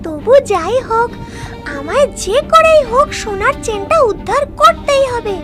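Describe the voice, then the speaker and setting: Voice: very high-pitched, sing-song, normal speed. A performer voicing a tiny childlike magical helper in a Bengali cartoon, curious and brave, musing aloud. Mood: determined. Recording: loud music behind the voice.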